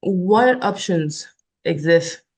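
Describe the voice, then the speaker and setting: A woman's voice: fairly low pitch, expressive, drawn-out words, a little mellow. A woman hosting an interview, asking questions politely and encouraging her guest with short affirmations. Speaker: host, interviewer.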